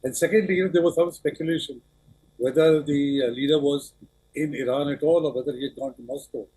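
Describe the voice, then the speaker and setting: Male analyst, husky, warm tone, measured. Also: fairly high pitch, steady tone, poor audio. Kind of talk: news interview.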